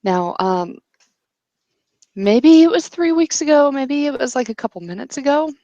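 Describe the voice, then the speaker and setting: A woman narrating an improvised tabletop roleplaying story as game master, in a hesitant, descriptive way with pauses. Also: high-pitched, expressive, mellow, no echo, dull audio.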